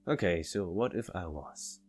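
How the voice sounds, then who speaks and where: Guarded male visual-novel lead, read by a man, deep, dismissive, faint music underneath. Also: animated, measured pace, a little mellow. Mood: defensive. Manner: defensive, dismissive.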